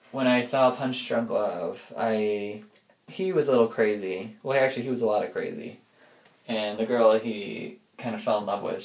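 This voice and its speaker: A man's voice: on the low side, darkish tone. A man speaking in a casual, rambling personal audio diary, reflective and informal, often feeling his way through a thought. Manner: informal, reflective, loses and regains his thread. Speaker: personal audio log diarist. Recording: echoey room.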